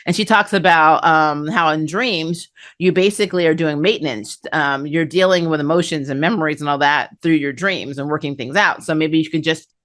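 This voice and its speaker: Low-pitched woman giving a casual review. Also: normal speed, a bit bright. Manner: conversational, chuckles between phrases. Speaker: host of an anime review channel. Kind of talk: casual episode review commentary.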